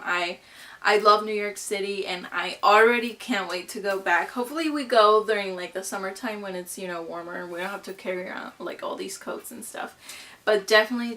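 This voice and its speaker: A woman's voice: mid-range voice, bright, forceful tone. A woman in her twenties from Mexico, chatting casually and gushing in a vlog, full of "like" and "you know". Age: 20s. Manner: bubbly and gushing, lots of fillers like "you know" and "like". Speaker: vlogger.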